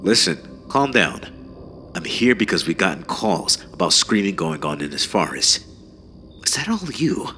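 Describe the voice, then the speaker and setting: A concerned police officer, voiced by a man, talks in a low, calming, practical way. He sounds like a character in a horror story, with faint music underneath. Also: moderate pace, exaggerated voice. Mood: calm, businesslike. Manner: calming, questioning.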